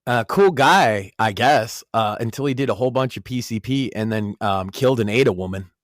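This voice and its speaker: A man's voice: mid-range voice, a little fast, no room sound, clean studio sound. A man from Massachusetts with a light Northeastern accent, co-hosting a casual podcast and joking profanely. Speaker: podcast co-host. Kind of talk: casual chat.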